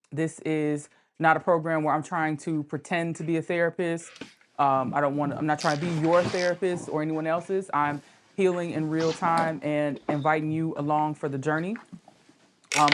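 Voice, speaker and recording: A woman with a low-pitched voice speaks as a podcast host. Her delivery is informal and conversational, halting at times, with words repeated for emphasis.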